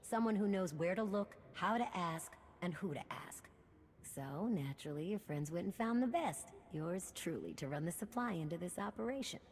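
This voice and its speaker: An actress playing a wry, cocky smuggler in a video game, talking in a folksy, sardonic way. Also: female voice, fairly low pitch, lively intonation, normal speed, breathy edge. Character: brash, wry female smuggler running an underworld supply chain. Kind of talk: scripted video game dialogue. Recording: reverberant hall with background hum.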